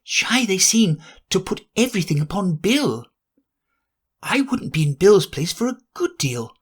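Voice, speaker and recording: A man's voice: sits high, sing-song, a little slow, husky, voice pushed up, exaggerated voice. A man voicing a curious, sensible young girl who muses aloud to herself, in a storybook reading. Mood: musing.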